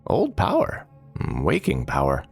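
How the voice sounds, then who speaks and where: A man's voice: deep voice, wildly swooping pitch, unhurried, gravelly, slightly rough, faint music behind, heavily acted. A man voicing an eccentric, mischievous mage in a fantasy story, playful and wry, savouring his words. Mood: awed.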